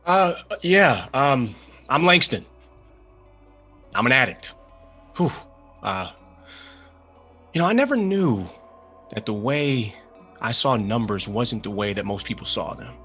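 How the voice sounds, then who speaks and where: A male actor plays an earnest man recovering from gambling, worn down and ready to take a risk. He speaks in halting, confessional bursts with a very mobile pitch. It is dramatic table-read acting. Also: expressive, bright, forceful tone, faint music behind.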